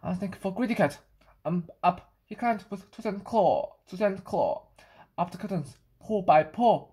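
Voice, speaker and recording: A woman reading a children's picture book aloud, sometimes halting and repeating phrases. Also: mid-range voice, animated, warm tone, slightly rough. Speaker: storybook reader.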